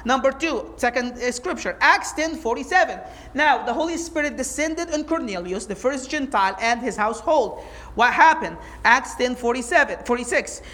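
High-voiced woman preaching emphatically. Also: normal speed. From Egypt. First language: Arabic. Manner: emphatic, animated preaching with rhetorical questions. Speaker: Bible teacher. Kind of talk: sermon-like teaching talk.

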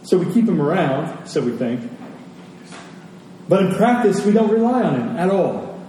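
A man's voice: high-pitched, big pitch swings, normal speed, dark tone. A man preaching a conversational sermon, repeating key phrases for emphasis. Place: large reverberant room. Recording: very echoey hall, distant mic, faint noise.

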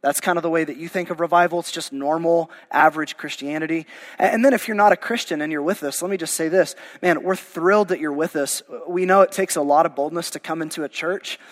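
A man's voice: fairly high pitch, fast, in a large hall. A male pastor, losing his voice, preaching a verse-by-verse sermon in a conversational, informal way. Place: church sanctuary.

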